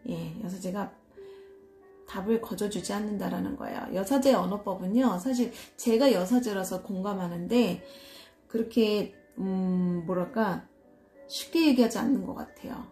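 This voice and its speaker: A woman from Seoul reading and explaining tarot cards in a casual solo video, talking slowly and hesitantly with many fillers and soft laughs. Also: medium pitch, soft background music. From Seoul, South Korea. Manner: slow, hesitant, self-deprecating, frequent fillers and soft laughs. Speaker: tarot reader.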